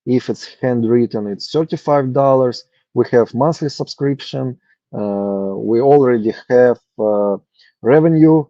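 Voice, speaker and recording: A man from Ukraine pitching his startup on a video call, halting and full of fillers. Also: warm tone, no room sound. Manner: halting, many uh fillers and restarts. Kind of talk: hesitant online pitch. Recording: dull lo-fi call audio.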